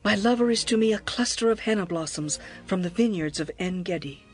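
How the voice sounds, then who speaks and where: An actress playing a young, lovestruck bride, yearning and adoring, in a tender, poetic dramatized scripture reading. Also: female voice, medium pitch, fairly quick, soft background music, natural voice. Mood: adoring, dreamy. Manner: expressive, poetic, tender delivery.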